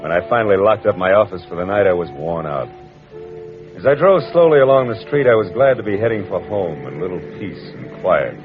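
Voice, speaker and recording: Low-voiced, wry American radio private eye. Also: male voice, big pitch swings, a little fast. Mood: world-weary. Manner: wry, wisecracking, clipped hard-boiled delivery. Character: wry, worldly male private detective narrating his cases. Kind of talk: 1940s-50s radio noir acting and first-person narration. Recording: muffled vintage radio recording with music.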